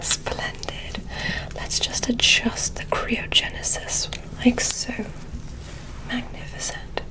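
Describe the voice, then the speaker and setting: A woman whispering an ASMR roleplay as a polite, curious alien scientist, giving soft, deliberate, soothing instructions. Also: fairly high pitch, rough recording. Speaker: ASMR performer.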